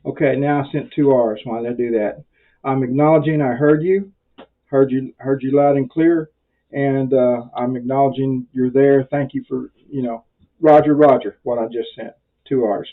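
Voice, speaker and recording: An American man with a mid-pitched voice explains things as an informal instructor. His delivery is conversational and wandering, with a self-deprecating streak. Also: steady tone, normal speed, phone-quality sound. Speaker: amateur radio instructor. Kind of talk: informal spoken tutorial.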